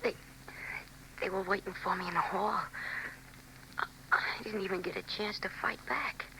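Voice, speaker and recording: A woman voicing a badly hurt young newsboy in a 1940s radio drama, stammering and hushed. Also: medium pitch, fairly even intonation, normal speed, slight background noise, low-quality recording. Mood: frightened. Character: injured young newsboy, frightened and weak.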